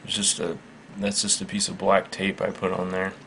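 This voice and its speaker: A man giving a casual, unscripted product demonstration in a matter-of-fact, halting, measured way. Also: low voice, monotone, vocal fry. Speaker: product reviewer. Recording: faint background noise.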